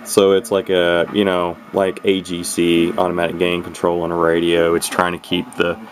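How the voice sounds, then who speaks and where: A man's voice: deep voice, completely flat delivery, normal speed, a little hiss or hum. A man giving a casual, unscripted hobbyist walk-through of equipment in a rambling, matter-of-fact way. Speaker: radio hobbyist presenting a receiver. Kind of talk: casual unscripted demonstration talk.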